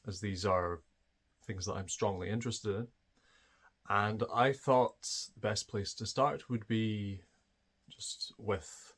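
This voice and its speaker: Scottish man hosting his own channel, low-pitched, reflective and hesitant delivery. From Scotland. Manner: thoughtful, hesitant, many fillers and restarts. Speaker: channel host. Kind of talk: personal storytelling to camera.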